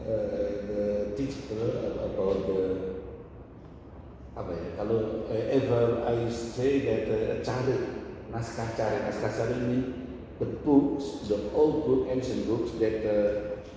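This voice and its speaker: A man, speaking halting English in casual conversation and often answering with short agreements. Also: fairly low pitch, steady tone, mellow. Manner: halting English, frequent backchannels. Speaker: guest. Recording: echoey room, distant mic, noisy.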